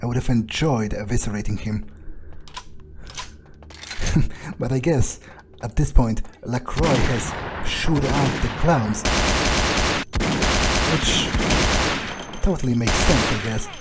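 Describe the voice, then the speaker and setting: A man's voice: medium pitch, slow, pauses often, very noisy. A man playing a video game in character, musing aloud with dry, muttered asides. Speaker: roleplaying gamer. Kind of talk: in-character roleplay commentary.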